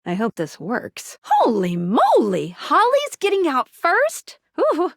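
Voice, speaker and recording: A perky, earnest female helper from a video game. Her voice sits high and swings widely as she quips and encourages. She sounds eager with a nervous edge, in a close, dry studio sound. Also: slow, no room sound, exaggerated voice. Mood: nervous, hesitant. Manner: chipper, quippy, expressive. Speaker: game character guiding the player. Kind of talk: animated video game voice acting.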